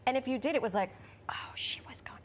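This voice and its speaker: A woman's voice: high-pitched, quick pace. A woman in her fifties hosting a TV talk segment, bubbly and effusive with her guests. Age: 50s. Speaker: morning show host. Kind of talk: upbeat TV talk interview.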